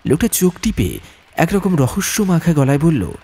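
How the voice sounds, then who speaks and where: A man narrating a horror audiobook as a storyteller, with suspenseful, atmospheric delivery and deliberate phrasing. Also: on the high side, big pitch swings, warm tone, breathy edge.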